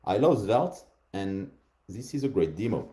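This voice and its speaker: French guy demoing stuff, super deep dark voice, bouncy intonation, echoey room. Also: big pitch swings, unhurried. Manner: calls out voice commands between explanations. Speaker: conference speaker. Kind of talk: live demo talk.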